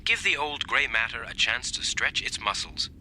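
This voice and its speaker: A man's voice: mid-range voice, very animated, moderate pace, bright, forceful tone, a little hiss or hum. A man playing a recorded telephone double in a radio drama, hearty and chummy, with a teasing, theatrical manner. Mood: needling.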